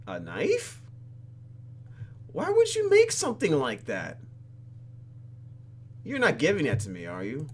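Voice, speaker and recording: A man's voice: a little high, wildly swooping pitch, slow, pauses often, husky. A man doing playful, exclamatory gameplay commentary as he reads a visual novel aloud, with faint music behind him. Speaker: let's play host.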